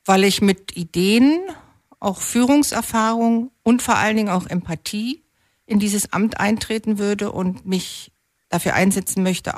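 A woman's voice: mid-range voice, a little mellow, no room sound. Woman candidate in a dry-sounding radio interview. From Berlin, Germany. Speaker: mayoral candidate being interviewed. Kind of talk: radio interview answers, political.

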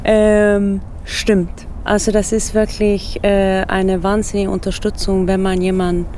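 A woman's voice: mid-range voice, level tone, unhurried, mellow, slight background noise. A middle-aged Swedish woman speaking German with a Swedish accent, a relocation consultant answering radio interview questions hesitantly, with many fillers and small grammar slips. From Sweden.

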